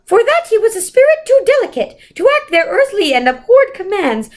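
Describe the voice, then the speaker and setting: A woman's voice: very high-pitched, sing-song, a little fast, voice pushed up. A woman voicing an otherworldly female being in a storybook, reciting cryptic lines in a lofty, theatrical way. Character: otherworldly female being quoting riddling verse, solemn and theatrical.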